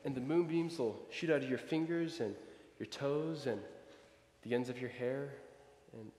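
A man's voice: medium pitch, moderate pace, a little mellow, natural voice. A man playing an eager, dreamy, boyish young small-town man who stammers, in a 1940s radio play. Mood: dreamy, excited. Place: large church stage before an audience. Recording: echoey hall from a stage.

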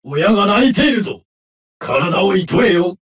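A man's voice: high-pitched, lively intonation, normal speed, distant mic, heavy background noise. A man voicing a stern, scornful teddy bear companion in an anime fighting game, with haughty, archaic, declamatory delivery.

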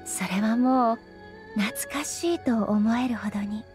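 A woman voicing a dignified, gentle female ruler in a Japanese anime game, speaking politely and formally with measured composure. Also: a little high, faint music behind, close to an everyday voice. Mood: serene, nostalgic.

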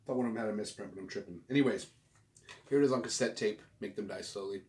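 A man's voice: on the low side, fast talker, a little mellow. A man in his forties chatting casually to camera like a vlog host, with a laid-back, rambling, slangy delivery. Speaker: host of a record collecting video channel. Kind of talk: casual show-and-tell vlog chat. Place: small home room, indoors. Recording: roomy, distant mic with clear background noise.

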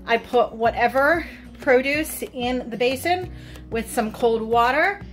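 A woman's voice: a little high, measured pace, faint music behind. A middle-aged American woman talking informally and chattily to viewers as a home cooking and lifestyle vlogger. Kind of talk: casual chatty vlog to viewers.

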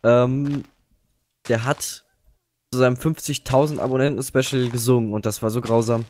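A man's voice: medium pitch, fairly even intonation, normal speed, a little mellow. A man chatting casually in a group call while gaming, giving his opinions in an earnest way. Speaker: co-player.

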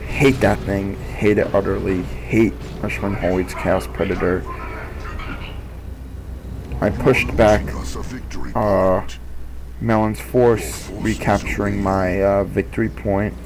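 A man's voice: fairly low pitch, level tone, slow pace, long pauses, background noise. A young man narrating a replay of his own strategy game match in a casual, rambling way, with many fillers and restarts.

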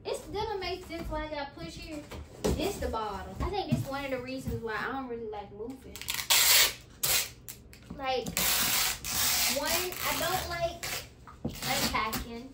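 A woman's voice: sits high, lively intonation, a little slow, pauses often, very noisy. A woman in her twenties vlogging casually in a nursery at home, chatting to the camera while she sorts clothes and packs boxes. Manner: chatty, talks while packing and folding. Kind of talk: casual vlog chat to camera. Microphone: camera set on the floor.

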